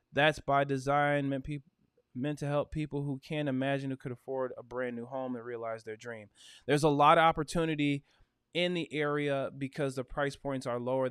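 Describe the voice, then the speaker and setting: A man talks through a casual realtor livestream in an upbeat, chatty way, with lots of "uh" and "you know" along the way. His voice is smooth and resonant. It sits dry and close on the mic, with no room sound. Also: medium pitch, no echo. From Michigan. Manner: chatty, upbeat, with frequent fillers.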